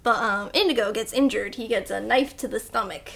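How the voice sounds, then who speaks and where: A woman's voice: on the high side, a little fast, vocal fry, a bit bright. A woman hosting a casual movie recap podcast, chatting in a jokey, giggly way. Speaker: podcast host.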